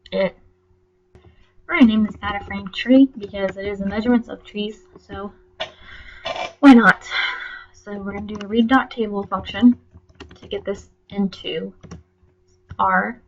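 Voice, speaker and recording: A young woman with a stuffy nose from allergies, giving a recorded class presentation in a plain, slightly halting way with frequent ums and self-corrections. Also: medium pitch, dull audio. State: congested from allergies. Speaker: student presenter.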